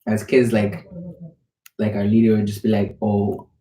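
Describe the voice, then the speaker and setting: A man's voice: fairly low pitch, completely flat delivery, normal speed, warm tone, far from the mic. A young man of about eighteen from the Bahamas, talking casually and searchingly about religion with lots of filler words. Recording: echoey, off-mic, faint noise.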